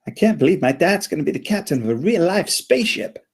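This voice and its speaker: A man playing a naive, earnest eleven-year-old boy with a questioning manner in an animated comedy table read. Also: high-pitched, fast talker, creaky, slightly rough, exaggerated voice. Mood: proud.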